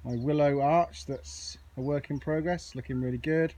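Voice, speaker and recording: A man's voice: on the high side, fairly even intonation, moderate pace, darkish tone. A man giving a casual, chatty off-the-cuff video tour as an amateur gardening vlogger, outdoors on a handheld camera. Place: outdoors on an allotment. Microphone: handheld camera or phone. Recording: clearly noisy outdoor handheld recording.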